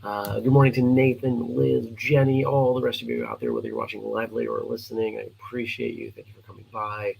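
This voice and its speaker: A middle-aged man with a hoarse, scratchy voice, tired from lack of sleep, hosting a casual live morning show with earnest encouragement and halting fillers. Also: mid-range voice, level tone, darkish tone. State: sleep-deprived. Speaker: host of a martial arts morning show. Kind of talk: casual live-stream chat.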